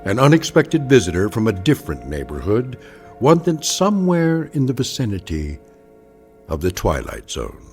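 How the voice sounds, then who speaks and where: A man's voice: fairly low pitch, huge pitch swings, moderate pace, a little mellow, soft background music. A man narrating a scripted mystery anthology in a theatrical, ominous manner.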